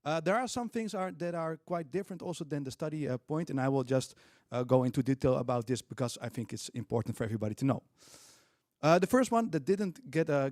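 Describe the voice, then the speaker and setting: A man, a union organizer speaking on a panel in an earnest, halting way with many fillers. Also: on the high side, darkish tone, dry recording, clean studio sound. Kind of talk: panel talk.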